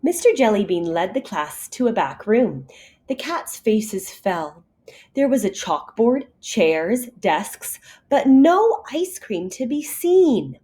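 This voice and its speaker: A woman reading a picture story aloud to kindergartners in an expressive, deliberate teacher's manner. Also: on the high side, a little hiss or hum. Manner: animated, deliberate storytelling for young children.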